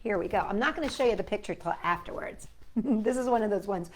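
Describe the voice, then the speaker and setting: A woman's voice: mid-range voice, animated, fast talker. A woman hosting a children's storytime, chatting playfully and speaking directly to young children. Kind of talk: playful children's storytime with reading and sing-along.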